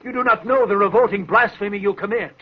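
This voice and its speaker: An imperious old Scottish lord. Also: male voice, high-pitched, fast, slightly rough. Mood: outraged. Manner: imperious, declamatory. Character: proud, furious old Scottish lord and father. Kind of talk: 1940s radio acting. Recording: muffled, noisy old radio recording.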